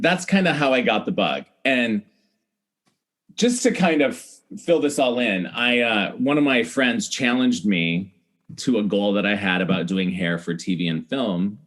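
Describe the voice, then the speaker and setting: A man's voice: fairly low pitch, expressive, unhurried, fairly bright tone. A middle-aged man, telling stories in a chatty, casual way as a podcast guest and acting out remembered dialogue. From United States, Iowa. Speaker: podcast guest, celebrity hair stylist. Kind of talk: animated casual storytelling.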